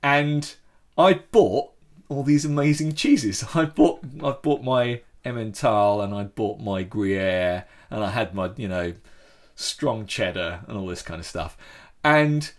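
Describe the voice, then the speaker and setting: A man's voice: lowish voice, slow pace. A man telling a story in casual chat, with frequent restarts.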